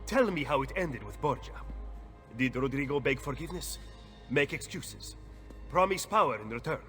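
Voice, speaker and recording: An actor playing a stern, skeptical and impatient political schemer in a video game, with a clipped, severe delivery. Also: male voice, mid-range voice, expressive, moderate pace, bright, forceful tone. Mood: probing. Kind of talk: dramatic video game acting. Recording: long hall reverb, faint music.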